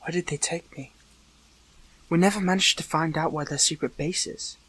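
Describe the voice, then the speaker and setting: A young man playing a captured hero in an audio roleplay, earnest, conflicted and lovelorn, with stammering, pleading, breathy delivery. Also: a little high, fairly even intonation, normal speed, fairly bright tone, low-quality recording. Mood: weary, anguished. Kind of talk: dramatic audio roleplay acting.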